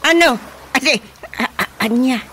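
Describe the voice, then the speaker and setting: A man's voice: very high-pitched, unhurried, pushed and bright, a little hiss or hum, full character voice. An actor playing a flustered, easily amused village chairman in a comic radio drama, stammering and exclaiming with an Ilocano accent. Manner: stammering, sputtering, full of exclamations.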